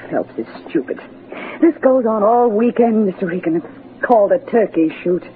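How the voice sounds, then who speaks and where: An actress playing a bored, imperious yet needy wife, dramatic and insistent in 1940s radio melodrama style. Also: female voice, medium pitch, animated, moderate pace, lightly acted. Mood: bitter. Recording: dull 1940s radio recording, light noise.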